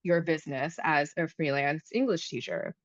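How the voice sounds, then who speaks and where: A woman in her thirties from the United States, hosting a podcast in a relaxed, chatty way, with lots of fillers and restarts. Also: low-pitched, normal speed, no room sound, muffled recording. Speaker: podcast host. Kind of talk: casual conversation.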